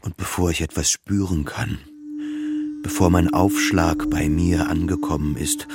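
A man's voice: sits low, fairly even intonation, a little slow, over loud music. An actor playing a depressed, despairing man in a radio play monologue, brooding and self-mocking as he narrates his inner thoughts. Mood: bleak, brooding.

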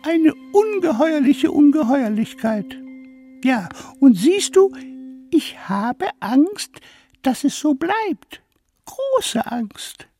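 Casting: harmless, self-important ghost sidekick for a kids' radio play, very high voice, slow theatrical drawl. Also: female voice, sing-song, warm tone, dry recording, faint music behind, exaggerated voice. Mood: plaintive, indignant. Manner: theatrical, plaintive, drawn-out delivery. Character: good-natured, vain, harmless little ghost, loyal sidekick. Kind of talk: children's radio play acting.

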